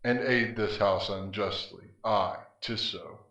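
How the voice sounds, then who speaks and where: Slow, low-voiced man as scornful Death. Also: pushed and bright, heavily acted. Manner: archaic, theatrical, defiant. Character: Death, grim scornful spirit claiming the doomed. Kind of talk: verse stage drama, declamatory. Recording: muffled, echoey, distant from mic.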